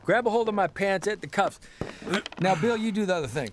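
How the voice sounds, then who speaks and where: Audio drama comedy: excitable, exasperated columnist, high and very animated, grumbling folksily over faint noise. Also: male voice, brisk. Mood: bossy. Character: exasperated, grumbling newspaper columnist stuck in his trousers.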